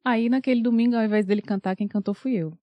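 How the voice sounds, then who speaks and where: A woman's voice: fairly high pitch, fast, dry recording, lo-fi sound. Bahian woman singer, 30s, cheerful podcast guest, dry sound. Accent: Bahian Portuguese. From Bahia, Brazil. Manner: laughs often, animated storytelling. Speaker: guest singer and vocal coach. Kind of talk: casual interview chat.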